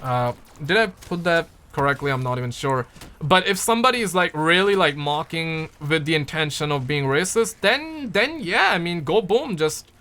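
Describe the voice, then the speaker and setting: A man's voice: fairly high pitch, expressive, normal speed, sharp tone. A young man from Pakistan, chatting casually on a livestream and answering viewer comments in a rambling, playful way that repeats words. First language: Urdu.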